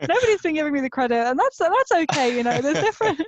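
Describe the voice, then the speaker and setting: A woman's voice: high-pitched, sing-song, quick pace, no room sound. A woman co-hosting a podcast in casual, playful banter. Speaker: podcast co-host. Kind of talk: casual chat. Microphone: video call. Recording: dull, band-limited call audio.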